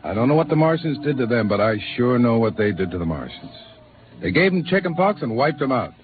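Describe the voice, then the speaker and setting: A man's voice: mid-range voice, very animated, a little fast, slight background noise, lightly acted. A man plays a dutiful officer in vintage radio drama. He reports earnestly and urgently in a mid-range voice, with the narrow sound of an aged broadcast. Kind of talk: 1950s radio drama acting. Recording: narrow old radio recording.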